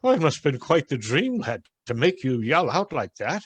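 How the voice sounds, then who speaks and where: Kindly older householder, flustered and repetitive, high voice with lively swings, radio drama. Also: male voice, normal speed, husky, no echo. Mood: fatherly concern. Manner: flustered, repeats himself. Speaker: actor in a radio play.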